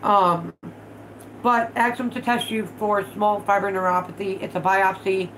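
A woman's voice: mid-range voice, steady tone, unhurried, a bit bright, a little hiss or hum, poor audio. A woman in her thirties hosting a casual livestream at home, stuffed up from allergies, chatting bluntly and swearing freely while she works with her hands. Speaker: vlog host. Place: living room at home, indoors.

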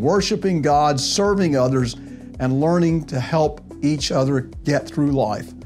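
A man in his sixties speaks as a pastor to his congregation, with a voice pitched a bit high for a man. He talks to camera from a small office, close on a lapel mic, with faint music underneath. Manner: warm pastoral address to camera, ending in prayer. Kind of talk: weekly church update to the congregation. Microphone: clip-on lapel mic.

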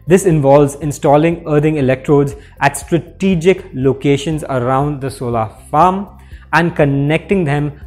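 A man in his thirties presenting a scripted technical explainer in a workshop, speaking with steady, clear delivery. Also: a little high. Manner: clear, steady teaching delivery with hand gestures. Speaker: presenter for an electrical equipment maker. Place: indoor workshop with electrical panels.

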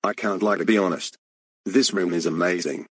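A man voicing a fugitive hiding from the police, talking in a hushed way and explaining to viewers. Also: sits low, moderate pace, noisy background, lightly acted. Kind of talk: amateur voice acting.

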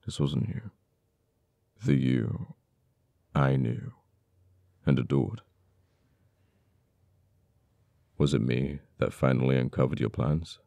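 A man acting an uptight, cold and bitter government official in an ASMR roleplay, with halting, dramatic delivery. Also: dark tone, no room sound, heavily acted. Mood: bitter, teasing. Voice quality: very deep, gravelly. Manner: halting, teasing, dramatic pauses and repeated words.

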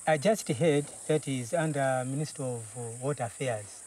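A man from Zambia answering questions outdoors, mostly in quiet, short replies. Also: medium pitch, normal speed. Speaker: detained poacher. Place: outdoors in the bush. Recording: distant outdoor mic, faint insect noise.

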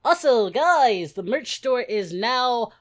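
A woman's voice: fairly high pitch, expressive, slow pace. A woman voicing a cheerful young male anime hero, giving exclamatory, slangy, mock-dramatic reaction commentary. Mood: chatty, amused. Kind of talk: playful reaction video commentary.

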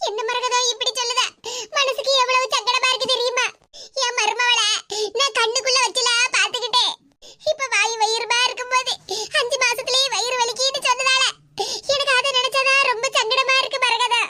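A woman's voice: extremely high pitch, steady tone, fairly quick, background noise, voice pushed up. A woman putting on a wailing village grandmother. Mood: fretful. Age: older. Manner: fretful, pleading, tearful. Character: anxious elderly village grandmother.

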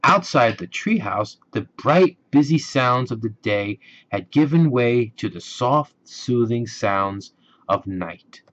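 A man reading a children's bedtime story aloud with deliberate, gentle, dramatic emphasis. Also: medium pitch, lively intonation, highs cut off. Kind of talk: expressive bedtime story narration.

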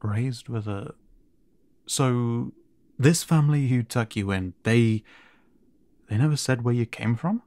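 A man playing a gentle, flustered siren in an audio roleplay, speaking haltingly and stammering with concern, often dropping to a breathy near-whisper. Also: medium pitch, no room sound, close to an everyday voice. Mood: worried, baffled. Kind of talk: audio roleplay addressed to the listener.

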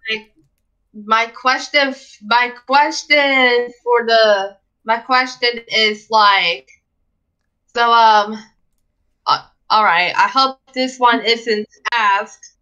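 A woman with a bright, fairly high voice asks a question very slowly, halting and repeating herself. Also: clean tone. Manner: halting, repeats words as they go. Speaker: audience member asking a question. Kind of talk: casual question at an online panel. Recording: dull lo-fi voice chat.

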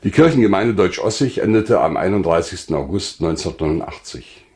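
An older man narrating his own life story from a script in a measured, formal way. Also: sits low, animated, a little mellow.

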